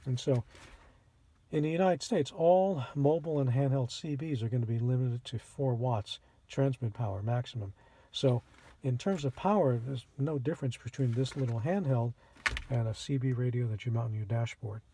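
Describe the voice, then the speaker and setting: A man hosting a casual how-to demonstration, explaining things plainly and step by step with a husky voice. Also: mid-range voice, moderate pace, warm tone. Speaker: host of a radio how-to channel. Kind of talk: casual instructional product demonstration.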